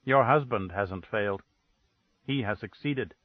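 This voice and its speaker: A man reading the lines of a grieving, bitter woman in a dead, flat tone, pitched lower than his narration. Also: moderate pace, dry recording, highs cut off, close to an everyday voice. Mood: cold accusing.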